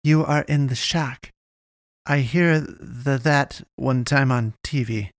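A man reading a story aloud, voicing a cold, commanding woman who speaks in halting, broken phrasing. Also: a bass voice, no echo, clean studio sound, pitched above the performer's own voice, heavily acted. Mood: matter-of-fact.

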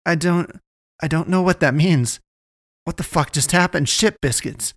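A woman's voice: sits low, expressive, unhurried, no echo, studio quality, pitched above the performer's own voice, exaggerated voice. A man reading a story aloud, voicing a panicky, foul-mouthed young woman who stammers and swears. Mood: panicked.

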